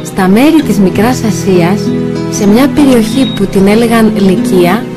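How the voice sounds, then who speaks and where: Female storybook narrator: mid-pitched, scripted children's tale delivery, clear guitar music underneath. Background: plucked guitar music.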